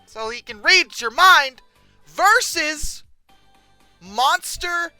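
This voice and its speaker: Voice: sits high, animated, extremely slow, bright, forceful tone, no echo, soft background music. A video host giving casual, humorous commentary in a sarcastic, exasperated manner with dramatic emphasis.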